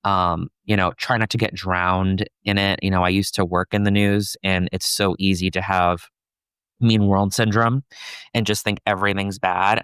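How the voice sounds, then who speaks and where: A young adult man with a soft, somewhat feminine voice, chatting casually as a podcast guest, with many fillers. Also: deep voice, clear, resonant tone, no room sound. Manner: soft-spoken, relaxed, many fillers like "you know" and "like". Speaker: podcast guest, content creator. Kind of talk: casual conversational interview.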